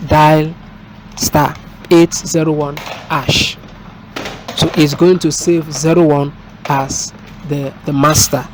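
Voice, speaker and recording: A man giving an unscripted hands-on installation tutorial as a technician, explaining step by step in a halting way. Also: on the high side, a little mellow. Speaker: technician presenting a product installation. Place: indoors at a desk, filmed from above. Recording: noisy room with street and vehicle sounds.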